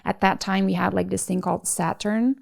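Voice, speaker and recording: A woman's voice: medium pitch, steady tone, fairly quick, creaky, darkish tone. A woman co-hosting a business podcast, reading scripted narration and then chatting casually with plenty of fillers. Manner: chatty, many fillers in conversation. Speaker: podcast co-host.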